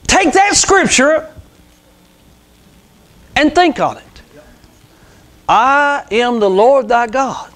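A man's voice: very high voice, a bit bright. A man in his sixties preaching an informal, fervent sermon as a pastor, emphatic and repetitive, with rhetorical questions. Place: small church sanctuary, indoors. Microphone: clip-on lapel mic.

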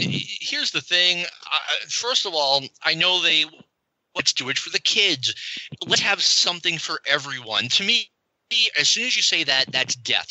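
A man co-hosting a podcast in an animated, opinionated way. His voice is very bright and cutting, recorded close and dry with no room sound. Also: on the high side, no room sound, low-quality recording. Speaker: podcast co-host. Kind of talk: casual podcast chat.

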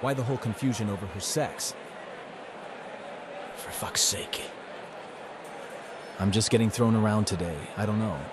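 A man's voice: lowish voice, normal speed, pauses often, background noise. A man reading scripted first-person narration in a wry, exasperated manner, as narrator of a voiced visual novel. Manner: wry, exasperated, sweary teenage protagonist.

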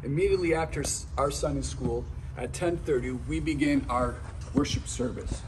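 A man's voice: medium pitch, normal speed. A middle-aged man delivering scripted church announcements to camera. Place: large indoor church foyer and halls. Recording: roomy, distant, noisy hall sound.

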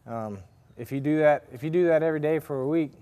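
A man's voice: fairly high pitch, fast, mellow, smooth. A man giving a casual, rambling farrier demonstration at a workshop with forges, with lots of fillers and restarts. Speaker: farrier instructor. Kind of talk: casual hands-on demonstration talk.

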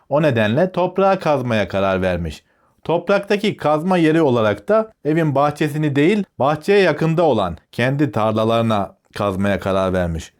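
A man narrating a children's fairy tale in a deliberate, explanatory storytelling manner. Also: mid-range voice.

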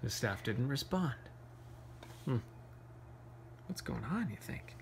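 Animated man reading a picture book aloud. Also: mid-range voice, vocal fry. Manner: playful, pauses to ask questions. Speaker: read-aloud storyteller. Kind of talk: animated picture-book read-aloud with casual asides to children.

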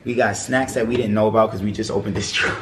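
A man's voice: fairly low pitch, steady tone, fast talker, fairly bright tone, a little hiss or hum. A man in his thirties joking around while co-hosting a casual hotel room tour. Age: 30s. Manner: playful, jokes with his partner. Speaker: travel vlogger co-host. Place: hotel suite and balcony.

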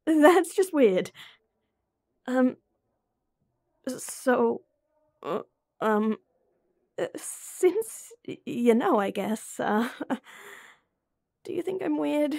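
A woman's voice: sits high, big pitch swings, very slow, vocal fry, long pauses, a little mellow, no room sound, clean studio sound, exaggerated voice. A woman voicing a young woman with a crush on her childhood friend, teasing playfully and muttering whispered asides to herself. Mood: shy confessing. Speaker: voice actor. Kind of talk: romantic roleplay audio.

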